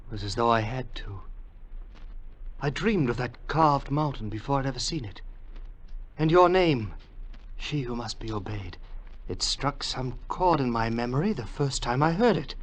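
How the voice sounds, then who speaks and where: An actor playing an earnest young adventurer in love, speaking in a wondering, halting, dramatic 1940s radio manner. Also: male voice, mid-range voice, lively intonation, lightly acted, low-quality recording. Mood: spellbound.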